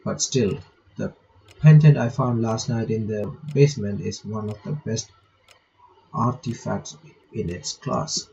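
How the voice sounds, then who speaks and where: Deep, gravelly man reading a game aloud. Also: warm tone. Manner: halting, stumbles while reading, repeats words. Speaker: reaction channel host. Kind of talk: visual novel playthrough, reading text aloud with casual asides. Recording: dull lo-fi mic, faint background noise.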